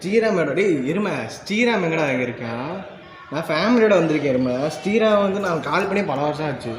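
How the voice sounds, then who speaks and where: A man's voice: on the high side, animated, fairly quick. A man chatting casually on the phone, informal and scolding in a familiar, teasing way. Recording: echoey, very noisy, reverberant.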